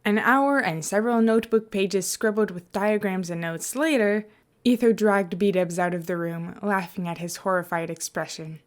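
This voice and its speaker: A woman's voice: medium pitch, normal speed. A woman reading fiction aloud as a scripted podfic narration.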